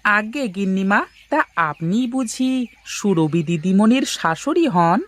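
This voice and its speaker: A woman's voice: medium pitch, animated, unhurried, natural voice. A woman voicing a humble, grateful male household servant in a cartoon, deferential and slightly hesitant. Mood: respectful. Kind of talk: cartoon family drama acting.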